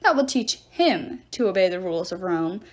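A woman's voice: on the low side, very animated, normal speed, pitched below the performer's own voice. A woman voicing a fussy, indignant male god in a talking statue, complaining officiously in a storybook reading. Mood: outraged, triumphant. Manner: officious, shrieking, rule-obsessed complaining.

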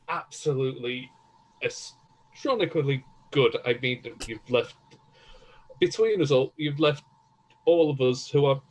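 A man hosting a casual livestream, speaking effusively as he gives thanks. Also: mid-range voice. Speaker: stream host. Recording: noisy background on webcam stream.

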